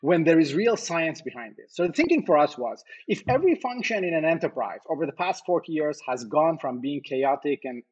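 Husky male founder from Iran, musing through answers with many "uh"s and restarts. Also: a little high, very animated, fairly quick, few pauses, dull audio. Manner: thoughtful, many fillers and restarts. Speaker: company co-founder and CEO, podcast guest. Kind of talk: conversational interview answers.

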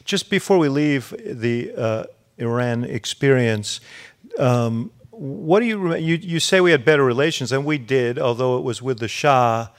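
A man's voice: medium pitch, expressive, unhurried. A man hosting a live onstage interview, asking long questions with many fillers and restarts. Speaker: interviewer, podcast host. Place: university event stage with audience.

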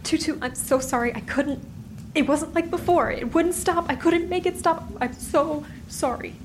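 A woman playing a guilt-ridden, protective sister in a fantasy audio drama, crying and halting with a cracking voice. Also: high-pitched, natural voice. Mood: distraught, pleading. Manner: halting, pleading repetition. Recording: noisy background ambience.

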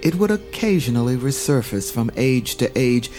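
A man narrating a fantasy audio drama in a measured, solemn storybook style. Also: mid-range voice, sing-song, music in the background.

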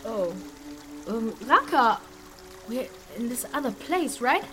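A woman playing an informal, excitable young outsider in a fantasy audio drama. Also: fairly high pitch, slow pace, music in the background. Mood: shaky, apologetic.

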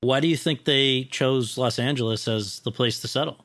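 A man interviewing a guest, asking his questions in a halting way with restarts. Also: medium pitch, fairly bright tone.

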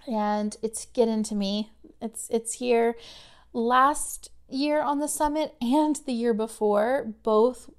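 Woman in her thirties, podcast host, casual filler-laden delivery, slightly higher voice, a touch worn from overuse. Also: slow pace, smooth. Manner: chatty, conversational, many fillers.

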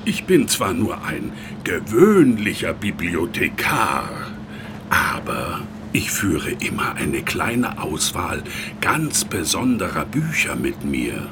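A man playing a mysterious, wizardly librarian in a children's audio play, with theatrical, deliberate delivery. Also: low-pitched, huge pitch swings, music in the background, full character voice. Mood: mysterious, enticing. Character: mysterious, oddly dressed male wizardly librarian.

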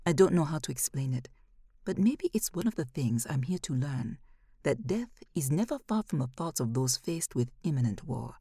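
Woman reading a novel aloud, low mellow voice, really expressive, super dry close sound, measured and hushed at the ends. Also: husky, no echo. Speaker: audiobook narrator. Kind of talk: scripted narration of literary fiction.

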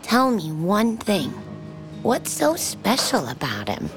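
Warm pushy waitress in a radio play, slow but swoopy delivery, music underneath. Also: female voice, mid-range voice, wildly swooping pitch, heavily acted. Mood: concerned. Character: warm, flirty, persistent diner waitress. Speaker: actor in audio drama. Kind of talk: naturalistic audio drama dialogue.

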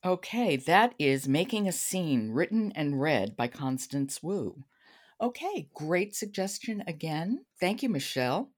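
Female podcast host with a notably low register, conversational and wry. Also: big pitch swings, a little slow. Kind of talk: conversational book talk and interview.

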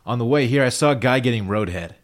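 A man's voice: medium pitch, fast talker. A man hosting a casual podcast, telling stories with eager, jokey energy. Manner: animated storyteller, eager and jokey. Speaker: podcast host.

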